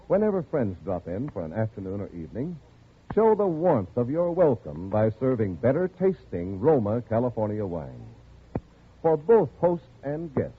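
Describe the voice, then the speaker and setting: A man working as a 1940s radio announcer, delivering scripted sponsor copy with polished, emphatic salesmanship. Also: mid-range voice. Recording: noisy, narrow-band old radio broadcast.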